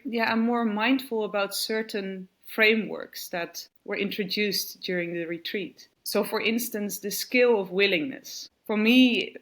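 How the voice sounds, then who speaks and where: A woman's voice: mid-range voice, a bit bright. Thoughtful woman reflecting. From Netherlands. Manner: thoughtful, searching for words with many restarts. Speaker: retreat participant. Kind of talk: reflective interview answer.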